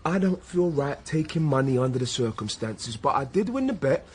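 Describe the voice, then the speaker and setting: An actor playing a teasing but principled police detective, talking in a bantering, conversational way. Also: male voice, medium pitch, big pitch swings, normal speed, husky. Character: teasing, principled male police detective, Sean, junior partner. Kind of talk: naturalistic radio drama dialogue.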